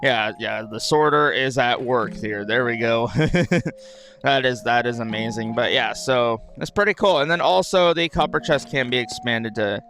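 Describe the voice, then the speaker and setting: A man's voice: mid-range voice, normal speed, a bit bright, soft background music. A man with a dry, just-woken throat gives casual game commentary, rambling and repeating his phrases. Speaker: gaming video host.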